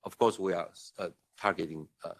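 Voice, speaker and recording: A man's voice: low voice, fairly even intonation, a little fast. Earnest male diplomat. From Taiwan. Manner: earnest, emphatic, with frequent restarts. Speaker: diplomatic representative. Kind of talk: conference speech. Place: conference room at a parliament.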